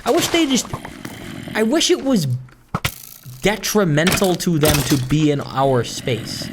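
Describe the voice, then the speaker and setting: Animated, musing gamer with a mid-range voice. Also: mid-range voice, unhurried, noisy background. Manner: informal, musing, grumbling about small gripes. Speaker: gaming video host. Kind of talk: rambling casual Minecraft let's-play commentary.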